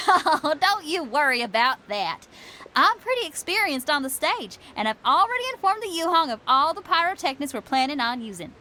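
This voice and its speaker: A woman's voice: high-pitched, sing-song, normal speed, bright, forceful tone, slight background noise, full character voice. A woman playing an easygoing, confident young rock performer in a video game, speaking in a breezy, informal way. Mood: reassuring. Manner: breezy, informal, laughs easily. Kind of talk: English video game voice acting.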